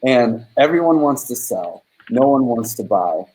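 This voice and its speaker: A man's voice: mid-range voice, sing-song, unhurried, mellow, low-quality recording. A man hosting a casual livestream over a video call, talking loosely with lots of fillers. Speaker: host.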